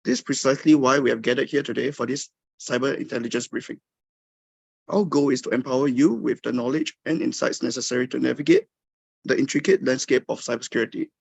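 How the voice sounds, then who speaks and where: A man acts as webinar host with a formal, scripted delivery. His voice is husky with plenty of gravelly fry, at a medium pitch. The sound is very dry, without room echo.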